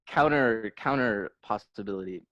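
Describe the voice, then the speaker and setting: A man's voice: on the low side, normal speed, a bit bright, no echo, highs cut off. A man co-hosting a podcast, riffing casually with friends and swearing freely. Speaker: podcast host. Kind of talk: casual comedic banter.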